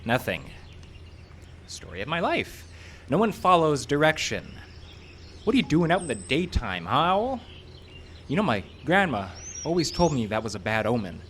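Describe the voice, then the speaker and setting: A man's voice: mid-range voice, big pitch swings, moderate pace, long pauses, a bit bright. A man playing a hapless, self-doubting filmmaker in a live audio drama, rambling in a jittery, self-mocking way. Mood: wry rambling. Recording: outdoor live stage, faint background noise.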